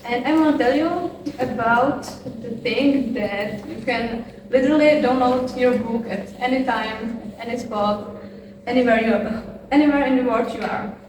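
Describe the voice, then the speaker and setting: A woman's voice: a little high, normal speed. A female student debater arguing her point persuasively with emphatic repetition, recorded from a distance in a large hall. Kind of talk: debate argument. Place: large echoey school hall. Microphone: far room microphone. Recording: echoey distant audio with background noise.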